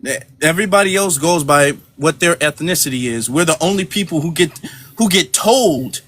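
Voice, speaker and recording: A man hosting an online audio room, brisk and affirming as he moderates. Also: on the high side, animated, a bit bright, no room sound. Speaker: room host. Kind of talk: casual moderating.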